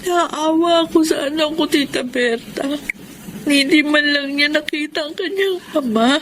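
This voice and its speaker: A woman acting in a Tagalog radio drama as a gentle, sorrowful young widow from the province, speaking haltingly. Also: high-pitched. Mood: tearful. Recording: clear background noise, vehicle ambience.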